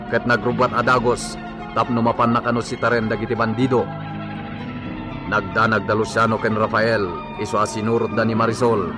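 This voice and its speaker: Narrator: male, Filipino accent, mid-range voice, scripted recap and announcer reads over prominent music. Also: mid-range voice. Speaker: radio drama narrator and announcer.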